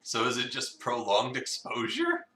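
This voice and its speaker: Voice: mid-range voice, huge pitch swings, unhurried, sharp tone. A streamer chatting casually and jokily while co-reading with a partner. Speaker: streamer, co-reader. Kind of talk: casual joking chat. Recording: roomy, distant mic with noise.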